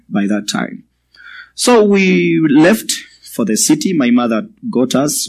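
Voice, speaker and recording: A man in his twenties with a Ugandan accent, giving a personal testimony as a guest speaker to a church, in a measured, halting way. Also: on the low side, low-quality recording. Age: 20s.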